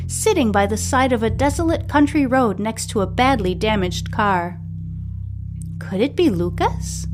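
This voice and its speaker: A woman hosting a story podcast, reading scripted narration from a serial novel. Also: on the high side.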